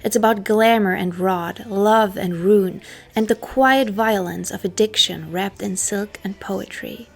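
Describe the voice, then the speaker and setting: Gravelly-voiced woman narrating a dark story. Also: mid-range voice, measured pace. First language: not English. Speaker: history channel host.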